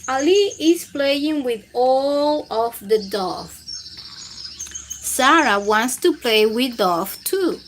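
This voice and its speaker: A woman reads a picture book aloud to young children as a storytime host. Her voice is high and swings widely in pitch, and she takes her time, using simple phrases and questions to the listeners. Birdsong and background noise sit behind her. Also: animated, takes it slowly, poor audio.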